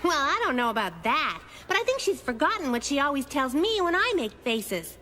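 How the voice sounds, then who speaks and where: Quick-witted cartoon little girl: very high, lively voice, matter-of-fact. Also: full character voice. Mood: chatty, musing. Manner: plain-spoken, matter-of-fact, childlike. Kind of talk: children's cartoon voice acting.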